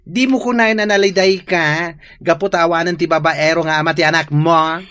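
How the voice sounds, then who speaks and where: A woman with an Ilocano accent playing a blunt, exasperated friend, animated and exclamatory, in a radio drama. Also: on the low side, moderate pace, no echo, studio quality, lightly acted. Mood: concerned.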